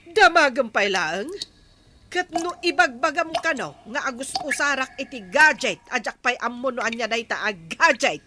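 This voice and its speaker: Woman as angry neighbour: high-pitched, scolding, fed up; comedy drama with clear background noise. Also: lively intonation, normal speed. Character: irate village woman scolding a gossiping neighbour. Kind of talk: Ilocano comedy drama acting.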